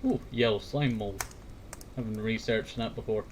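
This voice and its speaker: A man's voice: lowish voice, moderate pace, a little hiss or hum. A man chatting casually in a meandering way, often repeating himself and sometimes reading bits aloud. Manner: meandering, repeats words and verbal tag phrases. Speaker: host of a fireside talk series. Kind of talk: rambling casual chat, some reading aloud.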